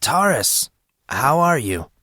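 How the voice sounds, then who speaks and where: A man's voice: mid-range voice, very animated, takes it slowly, pushed and bright, dry recording, clean studio sound, pitched above the performer's own voice, exaggerated voice. A man voicing an embarrassed teenage boy, awkward and dryly sarcastic, in an audiobook story.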